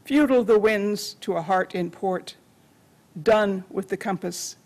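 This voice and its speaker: A woman's voice: mid-range voice, unhurried, a little mellow. An older woman reading poetry aloud at a podium microphone in a library room. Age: older, senior. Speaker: poetry reader. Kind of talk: poetry recitation. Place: library meeting room, indoors.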